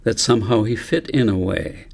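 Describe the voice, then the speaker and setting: Guy reading a storybook out loud, gravelly and a bit raspy, measured and thoughtful. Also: lowish voice, animated. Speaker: author reading own book aloud. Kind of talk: audiobook narration of a story.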